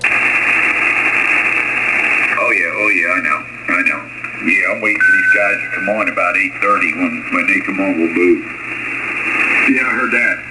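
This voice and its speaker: A man's voice: deep voice, moderate pace, long pauses. A man with an American accent chatting casually over the air as an amateur radio operator. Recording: very noisy ham radio transmission.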